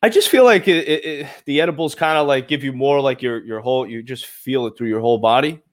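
A man's voice: mid-range voice, fairly quick, dry recording, studio quality. Laid-back Brooklyn guy, slangy. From Brooklyn, USA. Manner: laid-back, slangy, says bro and man. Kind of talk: casual podcast chat.

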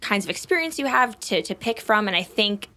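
A woman's voice: mid-range voice, expressive, fairly quick, sharp tone. A woman hosting a podcast, reading her intro and ads, then chatting with a guest enthusiastically. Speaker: podcast host. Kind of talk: casual interview and scripted intro.

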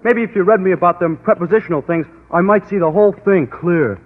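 An actor playing a dim, slow-witted teenage pupil with slangy, ungrammatical speech, in old-time radio comedy style. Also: male voice, sits high. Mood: dopey, earnest. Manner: ungrammatical, slangy, dopey delivery. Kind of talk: 1940s-50s radio sitcom acting. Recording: tinny, very noisy old radio recording.

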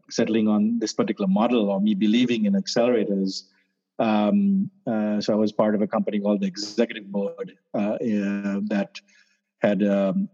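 A man from Sri Lanka explains his startup programs in a relaxed, mellow voice that sits low. He hesitates often, filling gaps with uhs and restarting phrases. The recording sounds muffled and lo-fi. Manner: hesitant, many fillers and restarts. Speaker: startup accelerator founder, guest. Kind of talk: casual interview answers. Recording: dull lo-fi sound.

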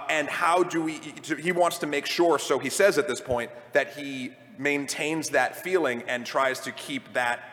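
Bright-voiced man on reverberant live panel. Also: fairly high pitch. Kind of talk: casual live panel discussion. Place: large convention panel room with microphones.

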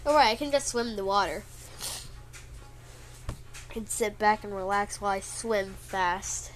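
A woman's voice: medium pitch, normal speed, slight background noise. A woman with a congested, sniffly voice, chatting casually and rambling while she plays a game, playfully complaining whenever she gets hit. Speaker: gameplay video creator. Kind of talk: casual gameplay commentary. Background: loud game music.

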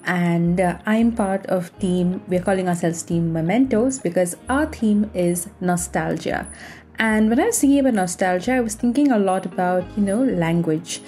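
A woman's voice: on the low side, moderate pace, mellow, faint music behind. A woman from India hosting a podcast, talking in a cheerful, chatty way with some fillers. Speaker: podcast host. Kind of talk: casual podcast talk.

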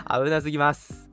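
A young man chatting casually as host of a livestream radio show, playfully talking back when teased. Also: a little high. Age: young adult. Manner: playful, mock-indignant retorts to teasing.

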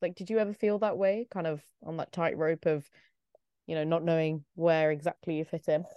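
Podcast host, a woman from the UK, low voice, warmly affirming and upbeat, dry close sound. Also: steady tone, fairly quick, no echo, muffled recording. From grew up in the UK with a South Indian upbringing. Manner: enthusiastic, affirming. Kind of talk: casual interview conversation.

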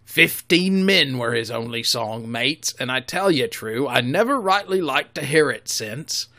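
A man voicing a haunted old pirate sailor who tells a story loudly and slowly, in a brooding way. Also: medium pitch, lively intonation.

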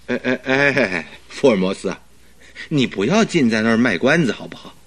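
An actor playing a detective's good-natured, curious companion in a radio drama, with a husky voice and a hesitant, questioning manner. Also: male voice, medium pitch, big pitch swings, fairly quick, a bit bright. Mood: curious, puzzled. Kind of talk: Chinese radio drama acting.

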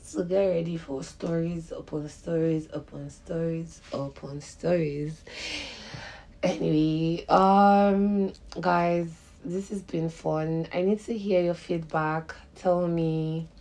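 A woman with a Nigerian accent hosting a podcast in casual, slangy, animated chat. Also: fairly low pitch, slow pace. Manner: animated, laughs often, informal with slang. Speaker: podcast host.

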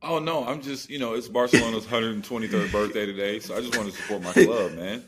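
A man's voice: fairly low pitch, a little fast, a crowd in the background. A man co-hosting a sports podcast, giving casual analysis in slangy, informal speech with repeated phrases.

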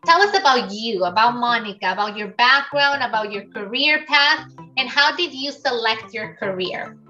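A middle-aged woman host with a high, bright voice reads out a formal welcome and asks questions of her guest. She sits back from the mic on a video call, and soft music plays faintly behind her. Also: expressive, normal speed, distant mic, lo-fi sound. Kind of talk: scripted introduction and interview questions.

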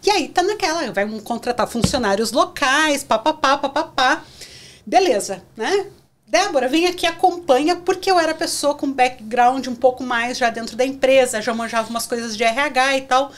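A middle-aged woman from southern Brazil with a gaúcho accent, a podcast guest telling lively stories in casual chat. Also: sits high, normal speed. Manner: animated storyteller, acts out scenes. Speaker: guest, career counselor.

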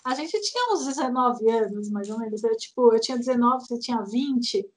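A Brazilian-accented woman, a guest game developer, chatting casually and laughing easily as she remembers old projects. Her voice is high. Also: expressive, a little fast, rough recording. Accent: Brazilian Portuguese. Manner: laughs easily, recalls past work. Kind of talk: casual chat.

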